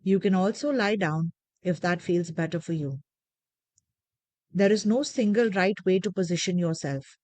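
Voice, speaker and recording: Low, mellow woman guiding meditation. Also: no echo. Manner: gentle, measured, soothing instruction with deliberate pauses. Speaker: meditation guide. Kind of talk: guided meditation narration.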